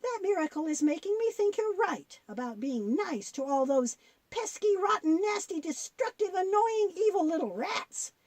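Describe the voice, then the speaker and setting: A woman playing an excitable, grumbling young boy in a children's audio story drama. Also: very high voice, moderate pace, no echo. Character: Noah, excitable young boy with a grudge against mice.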